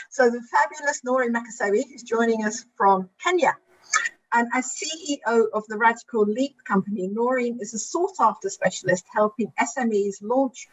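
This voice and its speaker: A woman's voice: a little high, slightly rough, low-quality recording. A woman hosting an event, reading out a guest speaker's introduction in an upbeat, polished way. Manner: upbeat, polished, reads lists steadily. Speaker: event host.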